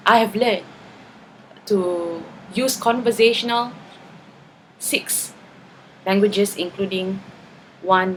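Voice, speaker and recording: A woman's voice: mid-range voice, a little hiss or hum, low-quality recording. A tired woman, not a native English speaker, talking to camera in a chatty video response, often tagging her points with "okay" and "right?". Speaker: vlogger.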